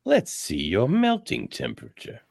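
A man performs a gruff, cocky superhero-story brawler for radio theatre. The voice is low and gravelly, swinging up and down with swagger, and recorded very dry. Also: wildly swooping pitch, normal speed, dry recording, full character voice. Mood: taunting. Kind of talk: live radio theatre read.

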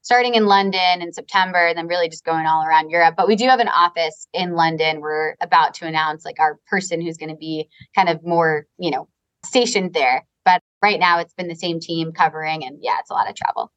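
A woman with a Long Island accent, answering interview questions in a fluent, rapid business manner. Her speech is dotted with "like" and "you know" as she explains her work over what sounds like a remote call. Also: on the low side, a bit bright, lo-fi sound. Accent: Long Island New York. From Long Island, New York. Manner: fast, fluent, filler-heavy with frequent "like" and "you know". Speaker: guest, real estate advisory co-founder.

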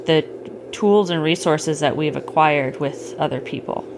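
A middle-aged woman chatting casually with a child at bedtime, gently explaining things and checking in with questions. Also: low voice, unhurried. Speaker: parent hosting a bedtime story podcast. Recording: clearly noisy home recording.

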